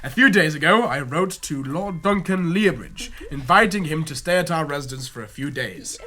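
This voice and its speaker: A man's voice: a little high, expressive, moderate pace, sharp tone. An actor playing a strict, middle-aged Victorian father, formal and commanding in a period radio drama. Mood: stern, pleased. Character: strict, controlling Victorian patriarch, stern and condescending.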